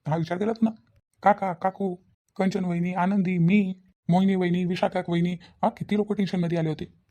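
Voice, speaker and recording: A man's voice: sits high, flat delivery, brisk, dark tone, close to an everyday voice. A man voicing a principled young friend in a village cartoon, scolding insistently with repeated questions in a Varhadi Marathi accent. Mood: insistent, disapproving. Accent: Varhadi Marathi (Vidarbha). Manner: reproachful, repetitive, insistent questioning.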